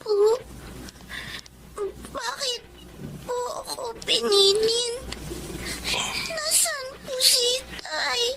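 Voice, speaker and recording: A performer playing a sad, sickly Filipino child in a radio drama, tearful, plaintive and halting. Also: very high-pitched, gravelly, full character voice. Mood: tearful, bewildered. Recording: very noisy ambient drama soundtrack.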